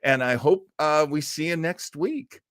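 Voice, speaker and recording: A man's voice: fairly high pitch, unhurried, a bit bright, a little hiss or hum. A man in his sixties hosting an online show with casual chat, rambling and enthusiastic in an effusive way. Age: 60s.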